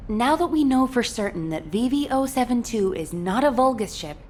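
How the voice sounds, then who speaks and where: An actress playing a thoughtful, earnest researcher giving a measured, formal briefing in a video game. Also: female voice, medium pitch, a little mellow, a little hiss or hum, natural voice.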